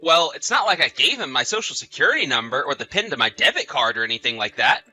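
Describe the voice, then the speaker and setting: A man's voice: mid-range voice, big pitch swings, quick pace, sharp tone, faint music behind, exaggerated voice. A man playing an easygoing, sociable husband in chatty, casual audio drama dialogue. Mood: mildly defensive. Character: easygoing husband, sociable, placating, mildly defensive.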